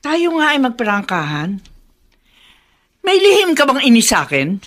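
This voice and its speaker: An actress playing a controlling, suspicious older mother-in-law in a Filipino radio drama, imperious and scolding, quick to take offence. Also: high-pitched, wildly swooping pitch, heavily acted.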